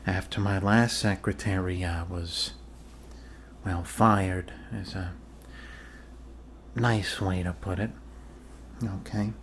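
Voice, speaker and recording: A man doing an improvised ASMR role-play as a doctor, talking in a halting, deliberate, deadpan way to a patient. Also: low-pitched, a little hiss or hum. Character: blunt, casually shady male family doctor reassuring a patient. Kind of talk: ASMR medical role-play, improvised personal-attention talk.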